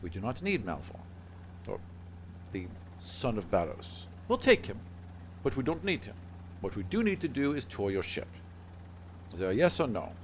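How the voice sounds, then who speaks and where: A man plays a stately bird-woman envoy in a tabletop fantasy game. The character speaks in measured, formal phrases, polite yet firm and solemn, at a middling pitch. A faint noise sits behind the voice. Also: big pitch swings, natural voice.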